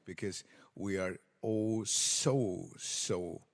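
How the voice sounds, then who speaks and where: A man moderating a conference panel, introducing speakers slowly and hesitantly. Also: low-pitched, vocal fry. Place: conference hall.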